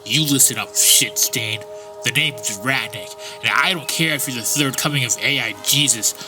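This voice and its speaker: A man's voice: medium pitch, moderate pace, over music, natural voice. A man playing a surly, foul-mouthed rodent gatekeeper in an audio drama, curt and threatening. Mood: menacing.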